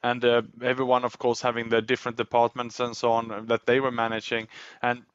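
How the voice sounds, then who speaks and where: A middle-aged man from Sweden, a native Swedish speaker talking in English as a podcast guest, reflective and measured with frequent fillers. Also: mid-range voice, monotone, fairly bright tone, clean tone, no room sound, highs cut off. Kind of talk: reflective interview talk.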